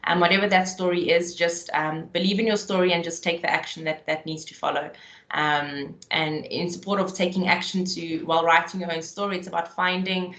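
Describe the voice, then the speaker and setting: A woman's voice: lowish voice, steady tone, a little fast, fairly bright tone, roomy sound. A woman moderating a webinar, giving closing remarks and thanks with many "um" fillers.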